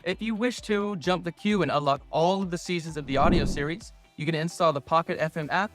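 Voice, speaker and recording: A man with a slightly high voice delivers a scripted promotional announcement. He speaks as an announcer, with faint music playing behind him. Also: brisk, fairly bright tone.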